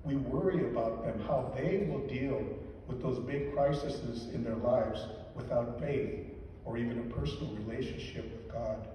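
An older man delivers a homily in a mellow, dark voice, earnest and deliberate. He is heard from far back in a large church, with long echoing reverb and faint room hiss behind him. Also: mid-range voice. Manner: measured, earnest, slow deliberate emphasis. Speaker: deacon or priest giving a homily. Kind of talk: weekday homily. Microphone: lectern mic, filmed from the back pews.

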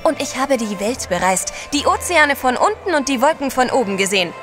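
A bold lady adventurer in a radio play. Her voice sits fairly high and she speaks with poise and firm self-assurance, over clearly audible music. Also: expressive, brisk. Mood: bold, determined. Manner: poised, assertive. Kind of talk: German audio drama acting.

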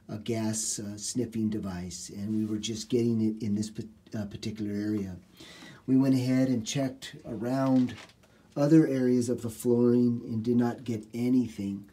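A man's voice: fairly low pitch, mellow. A middle-aged man working as a home repair contractor, walking through a basement job step by step in plain talk with lots of "uh" fillers. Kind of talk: walkthrough how-to explanation. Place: basement, small indoor space.

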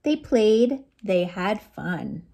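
Slow, animated American woman reading to children. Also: medium pitch, gravelly. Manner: animated, sing-song, with playful sound effects. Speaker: children's librarian, storytime host. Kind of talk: read-aloud storytime for young children. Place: small lamp-lit room at home.

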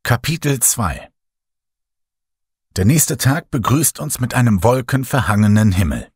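A man narrating a horror story in the first person, dramatic and lively. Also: medium pitch, measured pace, husky, no room sound, studio quality. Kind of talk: horror audiobook reading.

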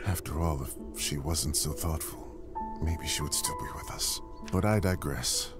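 A middle-aged uncle mourning his beloved niece in a game drama. The voice is very low, with measured, solemn oratory full of tender pride. Music plays behind the speech. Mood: mournful, tender. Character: grieving proud uncle giving a niece's eulogy.

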